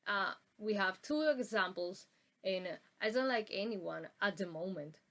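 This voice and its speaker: Halting young woman presenting a lesson. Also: mid-range voice, animated, a bit bright. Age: young adult. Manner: halting, with many ums and uhs and restarts. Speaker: student presenter. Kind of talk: classroom presentation on pronunciation.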